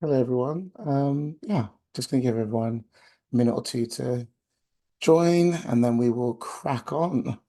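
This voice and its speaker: Hoarse, croaky man. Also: mid-range voice, warm tone. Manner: halting, many filler sounds, friendly. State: hoarse from a chest infection. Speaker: outreach coordinator. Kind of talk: webinar presentation. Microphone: video call.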